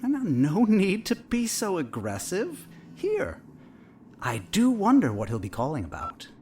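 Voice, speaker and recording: An actor playing a calm, probing and coolly manipulative therapist in an audio drama, speaking in a measured, clinical, needling way. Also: male voice, sits high, slight background noise, exaggerated voice. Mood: coaxing, needling.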